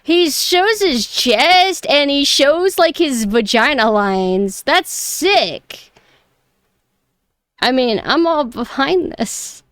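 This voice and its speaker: A young woman with a high voice, chatting casually and snarkily on a stream, her close mic clipping on loud peaks. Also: animated, drawn-out words, dry recording. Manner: chatty, rambling, mockingly snarky. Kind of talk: casual reaction stream chat. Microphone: close mic that clips loud peaks.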